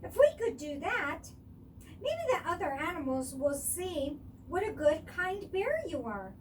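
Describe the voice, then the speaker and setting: A woman's voice: sits high, very animated, unhurried, far from the mic, slight background noise, voice pushed up. A woman voicing a kind, encouraging young female animal from a storybook, hopeful and reassuring. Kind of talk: storybook character voice.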